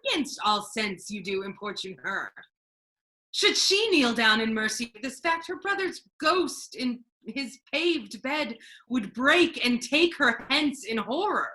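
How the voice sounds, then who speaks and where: A woman playing a stern, authoritative male duke in a measured, formal Shakespearean stage reading. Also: a little high, sing-song, sharp tone, full character voice, rough recording. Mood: cool, authoritative.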